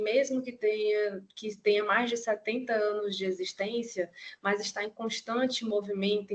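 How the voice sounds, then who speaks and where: A middle-aged woman with a Ceará accent gives an online nursing lecture in a chatty way, often adding tag questions. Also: medium pitch. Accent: Ceará, Brazil. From Ceará, Brazil. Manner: conversational, many "né" tag questions. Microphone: video call.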